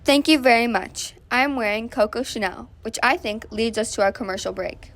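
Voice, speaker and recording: A woman's voice: on the high side, fairly quick, lightly acted. A woman playing an earnest suffragist guest on a 1920s radio show, explaining things from a script. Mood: earnest, pleased. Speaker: guest activist.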